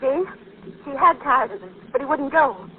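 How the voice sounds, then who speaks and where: A woman's voice: sits high, expressive, normal speed. An actress playing an earnest, dramatic young lady sleuth, brave but alarmed, in 1940s radio drama style. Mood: insistent. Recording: tinny, hissy old 1940s radio recording.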